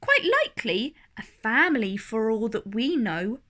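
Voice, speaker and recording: Woman voicing an earnest, bright, high-pitched storybook elephant. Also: big pitch swings, measured pace, exaggerated voice. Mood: sincere, pleading. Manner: earnest, rhyming storybook delivery. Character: big gentle earnest elephant, protective and pleading.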